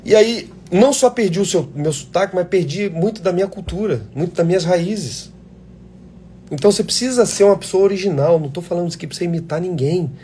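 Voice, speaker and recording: A man's voice: sits high, fast, mellow, a little hiss or hum. A man, an actor, talking casually and passionately to aspiring actors in a live chat, blunt and swearing freely. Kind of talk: casual live chat answering viewer questions.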